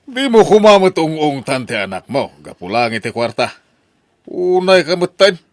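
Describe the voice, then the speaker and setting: A man's voice: fairly high pitch, big pitch swings, normal speed, dry recording, studio quality. A man with an Ilocano accent playing a stern relative who lectures a mother in a drama. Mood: reproachful. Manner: reproachful, lecturing. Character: stern middle-aged male relative scolding a mother.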